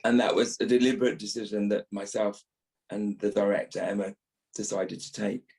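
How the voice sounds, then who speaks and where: Gravelly middle-aged man, emphatic and reflective. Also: on the low side, steady tone, normal speed, darkish tone. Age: 50s. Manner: emphatic, repeats phrases for stress. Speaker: actor, interview guest. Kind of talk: reflective interview talk.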